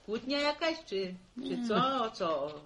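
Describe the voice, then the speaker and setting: A woman's voice: fairly high pitch, very animated, takes it slowly, a bit bright, a little hiss or hum, exaggerated voice. A woman acting a middle-aged farm mother in a radio drama, practical and chatty, given to teasing, fretting and folksy exclamations. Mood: inquisitive teasing.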